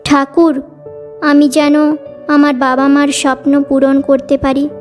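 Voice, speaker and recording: Cartoon schoolgirl daughter, earnest and dutiful, high level voice female actor. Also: little pitch movement, slow, soft background music. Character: studious, dutiful teenage village daughter. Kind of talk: cartoon story dialogue.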